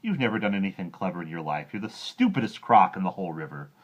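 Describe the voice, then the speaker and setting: A man's voice: sits low, very animated, fast talker, muffled recording. A man voicing a smaller crocodile who snorts scornfully at his bigger companion.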